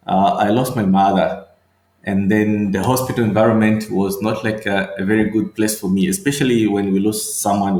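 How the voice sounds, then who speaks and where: A middle-aged man from Tanzania, a medical doctor and regional manager, answering interview questions slowly and thoughtfully, with many fillers and repeated words. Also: fairly low pitch, roomy sound.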